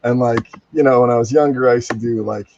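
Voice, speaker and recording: A man chatting casually as a guest, rambling through animated personal anecdotes, on muffled online-call audio. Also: mid-range voice, quick pace, creaky, slight background noise. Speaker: podcast guest, voice actor. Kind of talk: casual chat.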